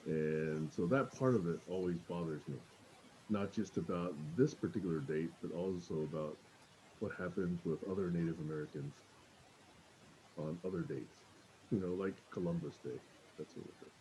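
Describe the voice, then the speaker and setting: A man's voice: deep voice, moderate pace, warm tone, slight background noise, poor audio. A man from Taiwan, talking thoughtfully and earnestly in a casual group chat. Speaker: group participant. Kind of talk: casual chat on a video call.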